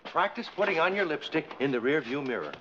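An actor playing an earnest, easily flustered farmer husband who explains things with patient exasperation, in 1960s sitcom style. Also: male voice, a little high, big pitch swings, quick pace, very noisy. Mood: explaining. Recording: dull lo-fi 1960s TV soundtrack.

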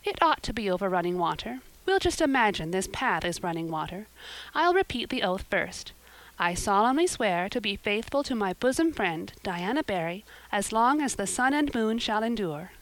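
A woman's voice: mid-range voice, very animated, normal speed, no echo, low-quality recording. A woman voicing an eager, talkative orphan girl with a gushing, romantic way of speaking. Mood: earnest.

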